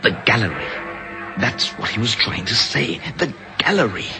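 An actor playing an urgent, insistent amateur sleuth in a 1950s radio drama. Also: male voice, medium pitch, big pitch swings, normal speed, music in the background. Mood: realizing. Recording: old radio recording.

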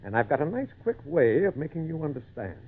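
A cruel circus boss from old-time radio, pitched a little high. He sneers and bullies with big, animated swings of voice and a short temper. The recording is tinny and narrow, like an old broadcast. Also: brisk, slightly rough, heavily acted. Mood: sneering, menacing. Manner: sneering, bullying, threatening. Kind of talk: 1940s radio acting.

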